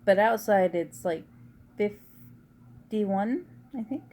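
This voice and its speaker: A woman with a quiet, low voice chatting casually in a rambling home vlog. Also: extremely slow. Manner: rambling, soft-spoken, uses y'all. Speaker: vlogger. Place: at home indoors.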